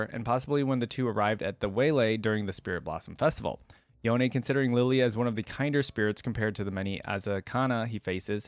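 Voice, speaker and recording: A man's voice: medium pitch, no echo. A man with a mid-range voice hosts a reaction video. He reads text aloud and comments on it casually. The sound is dry, with very little room in it.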